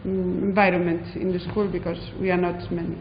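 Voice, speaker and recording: A Spanish-accented woman head teacher answers questions in halting English, pausing often on fillers. Her voice rings out in a large echoing hall, with a dull lo-fi sound and faint background noise. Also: fairly low pitch, level tone. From Spain. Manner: hesitant, many fillers. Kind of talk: interview answers in non-native English.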